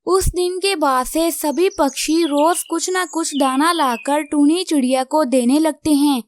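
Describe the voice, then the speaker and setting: Female narrator for children's animated story, scripted delivery, very high voice. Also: unhurried.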